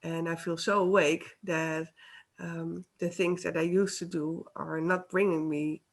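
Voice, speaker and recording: A woman speaks slowly and haltingly, reaching for English words with many pauses. Her voice sits a little low for a woman. She talks casually over a video call. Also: flat delivery. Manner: hesitant, searching for words, laughs often. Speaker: co-host, healer. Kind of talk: casual chat.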